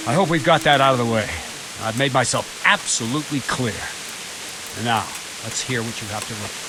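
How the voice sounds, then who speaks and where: An actor playing a gruff, bullying veteran captain in a video game, scolding with sarcasm and authority. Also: male voice, medium pitch, a little fast, noisy background, natural voice. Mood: stern, businesslike. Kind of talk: video game cutscene acting.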